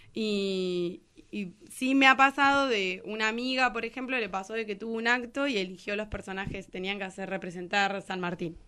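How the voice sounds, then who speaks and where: A woman with an Argentine accent, a guest telling animated anecdotes in slang during a casual radio interview. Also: medium pitch, clear, resonant tone. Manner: animated storytelling, quoting dialogue in slang. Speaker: guest, singer and teacher.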